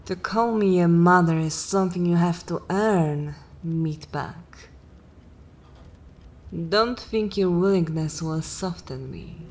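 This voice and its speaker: Woman playing a slow, low, imperious vampire mistress. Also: a little mellow, slight background noise. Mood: stern, lofty. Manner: imperious, slow, disdainful, formal wording. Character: haughty, cruel, commanding female vampire mistress, centuries old. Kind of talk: ASMR roleplay in dark fantasy.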